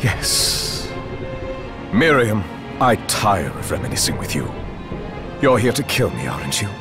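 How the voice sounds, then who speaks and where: Slow, theatrical, bitter male villain. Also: deep voice, sing-song, over music. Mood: cold, bitter. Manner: theatrical, measured, menacing. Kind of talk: dramatic video game voice acting.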